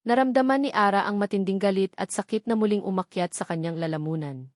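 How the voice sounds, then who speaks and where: A woman's voice: mid-range voice, fast talker, a little mellow, dry recording, clean studio sound. A woman reading scripted narration of a romance story as its narrator.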